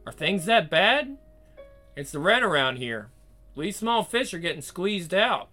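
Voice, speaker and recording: A man voicing a gruff, proud older bar owner who talks loud and blunt, reading a visual novel. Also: high voice, big pitch swings, measured pace, faint music behind, exaggerated voice.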